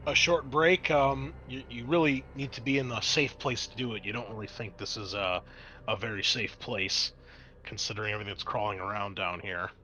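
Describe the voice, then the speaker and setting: A man's voice: lowish voice, steady tone, a little fast, sharp tone, a little hiss or hum. A man working as a game master, narrating a tabletop role-playing game in a conversational, improvised way.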